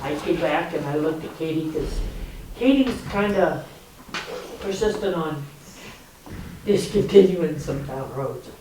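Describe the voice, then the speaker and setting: A man's voice: on the high side, expressive, unhurried, pauses often, a little mellow, a little hiss or hum. A man serving as a town official, chatty and laughing easily as he reports at a meeting. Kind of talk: meeting discussion. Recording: echoey room, far from the mic.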